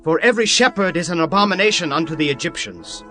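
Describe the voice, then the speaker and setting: A man voicing a firm, bright-voiced governor. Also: on the high side, lively intonation, quick pace. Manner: firm, instructive. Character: powerful governor, authoritative younger brother. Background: faint music.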